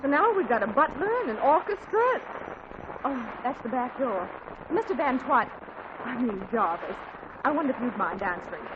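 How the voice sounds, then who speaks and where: A woman's voice: fairly high pitch, big pitch swings, normal speed. An actress playing a flustered but dryly humorous middle-aged mother in a 1940s radio comedy, heard through a hissy, dull old radio recording. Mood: wry.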